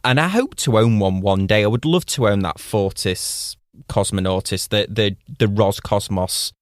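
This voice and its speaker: A man's voice: lowish voice, moderate pace, no echo. Eager man in a dry booth. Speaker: podcast co-host. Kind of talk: casual chat about watches.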